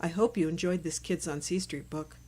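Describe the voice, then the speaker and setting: A woman's voice: lowish voice, fairly quick, a little mellow, breathy edge. A woman narrating a read-aloud children's book for early readers in short, separated sentences.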